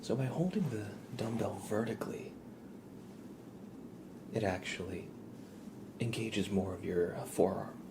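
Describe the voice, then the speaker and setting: A man hosting an ASMR livestream, speaking in a hushed, breathy whisper as he chats with viewers. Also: on the low side, unhurried, long pauses, background noise. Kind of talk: ASMR, live chat with viewers.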